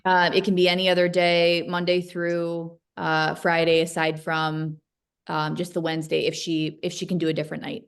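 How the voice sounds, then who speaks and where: Woman lawyer on a court video call, very clear voice, pretty level, polite. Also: on the low side, flat delivery, normal speed. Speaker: lawyer in a family court hearing. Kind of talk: polite formal courtroom talk. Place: bright indoor room with windows, on a video call.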